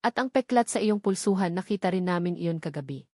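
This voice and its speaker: A woman's voice: lowish voice, expressive, brisk, darkish tone, no room sound. Stern, blunt master of the house read by a woman, accusing, dry studio sound, audio drama. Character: stern wealthy man confronting a servant with anger.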